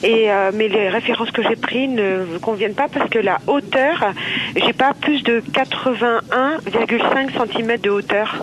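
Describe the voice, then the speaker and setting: A woman's voice: on the high side, moderate pace. Polite, hesitant woman on a noisy phone line. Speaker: customer calling a shop, teacher. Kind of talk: unscripted phone conversation.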